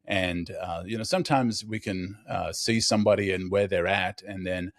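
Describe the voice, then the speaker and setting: A man's voice: low-pitched, fairly even intonation, moderate pace, no echo, studio quality. A man with a faint Australian accent, hosting a podcast with upbeat, enthusiastic chat. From raised in Australia. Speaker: podcast host. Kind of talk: casual interview chat.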